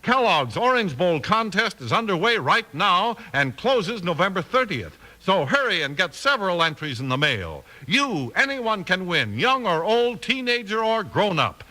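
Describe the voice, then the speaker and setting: Animated, high-voiced American radio announcer man. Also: moderate pace, hardly any pauses, rough recording. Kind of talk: scripted 1950s radio advertising and narration.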